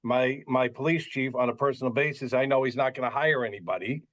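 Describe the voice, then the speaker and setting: A man's voice: medium pitch, quick pace, a bit bright. A man hosting a podcast, asking long rambling questions with stammers and light jokes. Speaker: podcast host. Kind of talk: casual interview questions.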